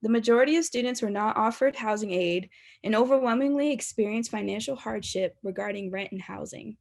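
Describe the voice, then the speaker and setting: A woman's voice: a little high, a little fast, vocal fry, darkish tone. A woman in her twenties with an American accent, a university student reading a scripted presentation. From Baltimore, United States. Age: 20s.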